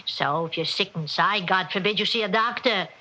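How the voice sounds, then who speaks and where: A woman's voice: medium pitch, moderate pace, sharp tone. A woman playing a meddling, talkative older mother-in-law with a New York accent in a 1960s radio drama, kvetching in rhetorical questions. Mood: coaxing, bossy. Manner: kvetching, rhetorical questions, inverted Yiddish-style syntax. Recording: muffled, hissy old radio recording.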